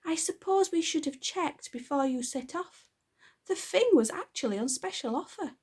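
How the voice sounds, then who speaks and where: A woman voicing a doting, apologetic mother who gushes in a very high, fluttery voice. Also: expressive, normal speed, darkish tone. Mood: gushing.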